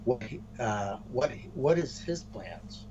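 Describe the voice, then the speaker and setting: A man co-hosting a casual wrestling storyline chat, speaking haltingly and often repeating words as he restarts phrases. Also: mid-range voice, lively intonation, a loud crowd. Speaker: co-host of a wrestling podcast. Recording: muffled, noisy room recording.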